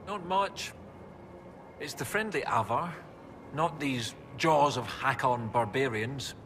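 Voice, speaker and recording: An actor playing an enthusiastic research professor in a video game, explaining things in a pedantic, eager way. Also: male voice, mid-range voice, slow, fairly bright tone, slight background noise. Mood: absorbed, explanatory. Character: enthusiastic, bookish male research professor and archaeologist. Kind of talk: video game dialogue acting.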